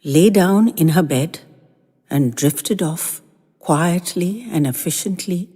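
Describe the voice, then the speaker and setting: Woman, low voice, husky, lively melodic reading, audio fiction narration. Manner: unhurried, expressive storytelling. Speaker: storyteller. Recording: long reverb, faint music underneath.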